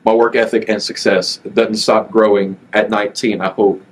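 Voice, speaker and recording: A nineteen-year-old man talking as a guest on a podcast, relaxed, often pausing with fillers and restarting his sentences. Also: fairly low pitch, a little hiss or hum, rough recording. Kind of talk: casual interview chat.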